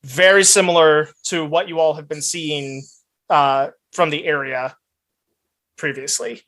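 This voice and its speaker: A woman's voice: sits low, steady tone, unhurried, a bit bright, poor audio. A woman running a tabletop role-playing game, describing scenes casually and vividly as game master.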